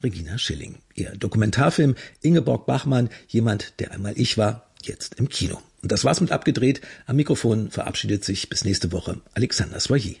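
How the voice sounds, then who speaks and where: A male radio host reads a culture segment from his script. His voice is gravelly and quite husky, with a strong fry, and his delivery stays calm and measured. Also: lowish voice.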